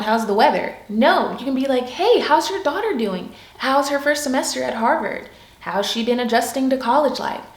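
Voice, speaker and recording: A young woman giving casual, upbeat career advice in a chatty vlog style, with lots of "like"s. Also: on the high side. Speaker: YouTube creator, product and project manager. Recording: echoey room.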